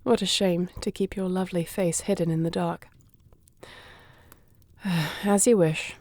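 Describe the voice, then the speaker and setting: A woman's voice: lowish voice, fairly even intonation, unhurried, a little mellow, no echo. A woman playing a wry, shrewd thief for hire in a fantasy audio drama, with a dry, teasing, understated delivery. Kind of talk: fantasy audio roleplay acting.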